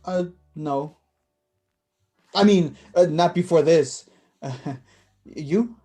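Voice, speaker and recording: Man acting awkward, soft-toned, nervous young guy. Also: a little high, faint music behind. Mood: shy, amused. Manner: halting, fumbling, self-conscious. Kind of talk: staged play reading.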